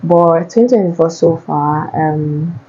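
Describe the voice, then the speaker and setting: A woman's voice: low voice, unhurried, warm tone, muffled recording, slight background noise. A young Nigerian woman with a Nigerian accent, answering viewer questions in a casual, chatty way and often repeating herself. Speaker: vlogger.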